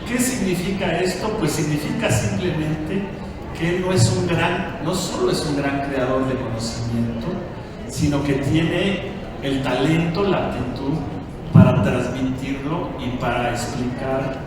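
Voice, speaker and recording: A man's voice: fairly high pitch, unhurried, recorded from a distance, noisy background. A man with a Mexican accent, moderating a live stage talk, playful and full of little "right?" tags. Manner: warm, playful, with many filler "¿no?" tags. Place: large event hall. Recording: echoey hall, mic heard from afar.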